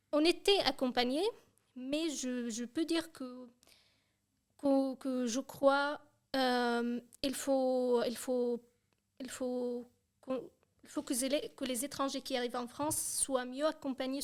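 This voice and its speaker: High-voiced young woman from Syria. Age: young adult. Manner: hesitant, many fillers and restarts. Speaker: podcast guest. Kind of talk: casual chat.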